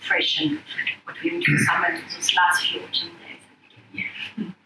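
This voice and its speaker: A woman's voice: on the low side, fairly even intonation, slow pace, noisy background. A woman from France, a winemaker, talking casually at a gallery event, thoughtful and full of ums. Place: gallery room. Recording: echoey room from far off.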